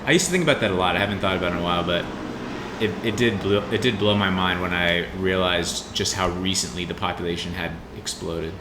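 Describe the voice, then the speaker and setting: A man in his mid-twenties in a casual, reflective podcast conversation, rambling and informal as he thinks out loud. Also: low-pitched, quick pace. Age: mid 20s. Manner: rambling, informal with mild swearing. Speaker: podcast co-host. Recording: roomy echo with faint background noise.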